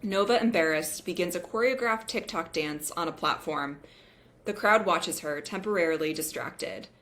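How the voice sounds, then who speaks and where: A woman's voice: lowish voice, normal speed, fairly bright tone. A woman reading screenplay stage directions as narrator in scripted table-read style.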